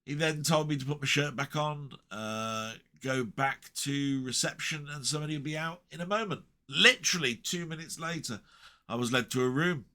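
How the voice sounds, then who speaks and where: A man's voice: fairly high pitch, normal speed, sharp tone. A man rambling through a personal vlog in a chatty, meandering way, with self-deprecating jokes. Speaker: retro gaming vlogger.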